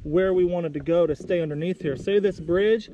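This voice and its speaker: A young American man chatting casually to viewers in a folksy, excitable way while out on the water. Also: sits high, normal speed. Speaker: vlog host. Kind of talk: casual outdoor vlog chat. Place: outdoors on a kayak under a bridge. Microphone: action camera. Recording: muffled action camera audio with outdoor noise.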